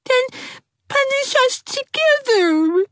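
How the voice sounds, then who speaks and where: A woman voicing a shrill, tearful young twin girl who screams and whines. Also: wildly swooping pitch, slow, a bit bright, dry recording, clean studio sound, heavily acted. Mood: wailing.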